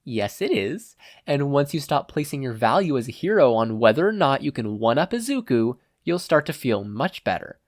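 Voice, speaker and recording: A reader playing the voice inside a teenage boy's head in a story. It is wry and knowing, teasing and lecturing with a lifted, expressive pitch. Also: moderate pace, no room sound, clean studio sound, close to an everyday voice. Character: wry, teasing inner conscience of a teenage boy.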